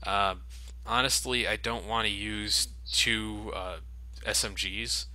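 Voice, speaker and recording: A man's voice: lowish voice, fairly even intonation, slow pace, pushed and bright, dry recording. A man giving casual, sardonic, sweary commentary as he plays a video game. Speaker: video game let's player and host.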